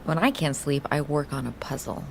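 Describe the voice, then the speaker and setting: A woman's voice: deep voice, lively intonation, normal speed, vocal fry, a little hiss or hum. An actress playing an intense, obsessive woman in an audio drama, conversational yet probing and insistent. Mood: probing, coaxing. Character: intense, coaxing woman obsessed with solving puzzles. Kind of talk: scripted audio drama acting.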